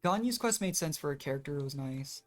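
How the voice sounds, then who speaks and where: Mid-pitched streamer voice, casual gaming commentary, thinking aloud, rambling, faint game music behind. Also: lively intonation, fast. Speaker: video game livestreamer.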